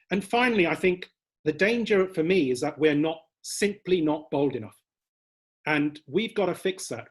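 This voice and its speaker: A man speaking as a leadership candidate at a hustings, measured and slightly formal in his debating. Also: a little high, breathy edge. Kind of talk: hustings speech.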